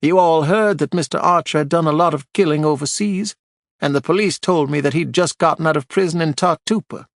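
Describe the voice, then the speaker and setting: Guy doing a high snippy gossipy widow lady, bossy and indignant, storybook style. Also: fairly quick, gravelly, no room sound, studio quality. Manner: shrill, imperious, snappish.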